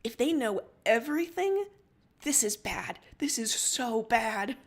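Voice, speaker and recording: A woman plays a commanding pirate captain in a storytime reading. The voice is urgent and intense, shaken by betrayal, moving between hushed alarm and clipped orders. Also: fairly high pitch, expressive, takes it slowly, exaggerated voice.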